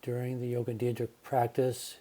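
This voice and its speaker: Very mellow, soft-edged male meditation guide. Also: mid-range voice. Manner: calm, gentle, unhurried instruction with pauses. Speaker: yoga nidra teacher.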